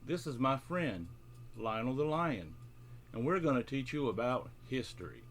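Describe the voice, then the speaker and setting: A man's voice: mid-range voice, lively intonation, normal speed, a bit bright, slight background noise, close to an everyday voice. A man voicing a friendly, playful raccoon substitute teacher in a children's storybook, with a funny voice and a British accent. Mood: cheerful, playful.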